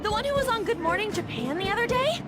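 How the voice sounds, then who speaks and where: A woman playing a spirited, feisty, expressive teenage girl in an anime game. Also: extremely high pitch, quick pace, a loud crowd. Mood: curious. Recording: loud music behind.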